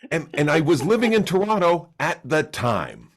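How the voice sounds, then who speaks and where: A man's voice: fairly high pitch, big pitch swings, normal speed. A man who is a stand-up comic, chatting casually as a podcast guest and telling rambling, joking stories with lots of restarts. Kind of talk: casual chat. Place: recorded for a podcast.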